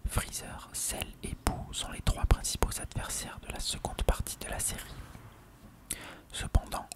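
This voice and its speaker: Fully whispered, hushed ASMR reader. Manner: hushed, measured reading of an encyclopedia-style text.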